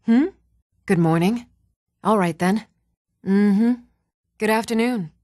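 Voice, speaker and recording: An actress playing a steady, firm young woman in a fantasy video game, speaking with measured, earnest delivery. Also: mid-range voice, dry recording, clean studio sound, close to an everyday voice. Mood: businesslike, friendly. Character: even-toned young adult woman of a fantasy world, steady and stern.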